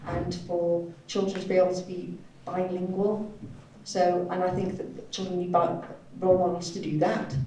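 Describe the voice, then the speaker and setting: A woman's voice: fairly low pitch, dark tone. A woman working as a live sign language interpreter, voicing speakers in a panel discussion. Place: echoey event room, indoors. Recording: very echoey hall, distant mic, faint noise.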